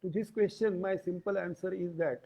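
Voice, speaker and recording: A man giving an explanatory lecture, deliberate and pausing between short phrases. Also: high voice, fairly even intonation, vocal fry, warm tone. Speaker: yoga lecturer. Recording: tinny, phone-like band-limited audio.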